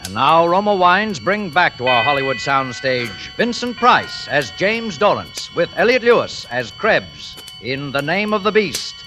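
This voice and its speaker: A man's voice: a little high, big pitch swings, unhurried, hardly any pauses. A man introducing a 1940s radio drama in a dramatic, portentous announcer style. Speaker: programme announcer. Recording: vintage radio recording with music. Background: keyboard music.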